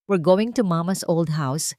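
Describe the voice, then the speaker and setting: Woman acting a gentle, calm Filipino mother. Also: on the low side, unhurried, no room sound, studio quality, lightly acted. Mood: forced calm. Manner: calm, reassuring, mixing English with Tagalog. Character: gentle young mother soothing her small children.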